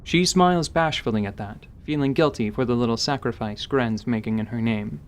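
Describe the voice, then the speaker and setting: A man's voice: mid-range voice, fairly quick, a little hiss or hum. A man giving scripted, present-tense narration for a fantasy audio drama.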